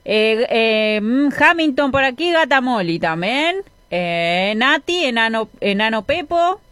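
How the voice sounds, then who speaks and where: A high-voiced woman co-hosting on the radio, reading out messages at an unhurried pace with small hesitations. The sound is dry and close to the mic. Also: sing-song, fairly bright tone, smooth, no room sound. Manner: measured, with hesitant fillers. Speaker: radio co-host. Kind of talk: reading listener messages on air.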